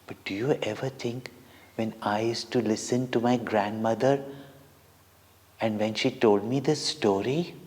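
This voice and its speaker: Slow-speaking Indian man in a reverberant hall. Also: fairly low pitch, fairly even intonation, darkish tone. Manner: deliberate, dramatic, with short pauses between phrases. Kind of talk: devotional storytelling for children. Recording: long reverberant hall echo.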